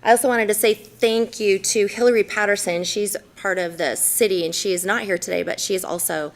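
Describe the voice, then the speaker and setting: A woman's voice: medium pitch, steady tone, fairly quick. A woman in her forties from Idaho giving a formal presentation in a city council chamber, earnest and sprinkled with ums and self-corrections. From Idaho, USA.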